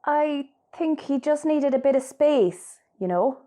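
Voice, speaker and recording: Woman playing tired irish daughter in a radio play, fairly high voice, patient and polite. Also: lively intonation, a little slow, warm tone. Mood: strained politeness. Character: weary, patient grown-up daughter, married mother.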